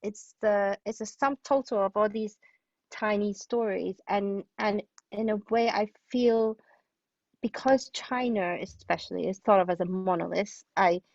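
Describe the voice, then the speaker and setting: Female podcast guest, mid-pitched, reflective and searching delivery, slightly muffled dry recording. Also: steady tone, darkish tone, no room sound. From Hong Kong. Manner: searching, halting phrasing with repeated words. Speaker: guest, playwright and historian. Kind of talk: thoughtful podcast interview conversation.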